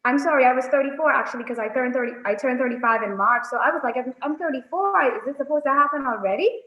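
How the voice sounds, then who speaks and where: A woman, speaking softly and hesitantly as a guest on a video call. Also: high voice, quick pace. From Bahamas. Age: 30s. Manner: soft-spoken, hesitant with frequent ums. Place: indoors, small room. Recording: roomy, dull phone audio.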